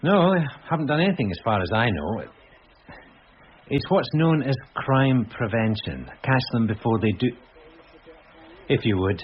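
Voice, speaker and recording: Man acting a harried detective sergeant, animated pitch. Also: muffled recording, slight background noise, lightly acted. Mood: busy, distracted. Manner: distracted, halting, dryly put-upon. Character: harried, dry-humoured male detective sergeant. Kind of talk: radio drama acting.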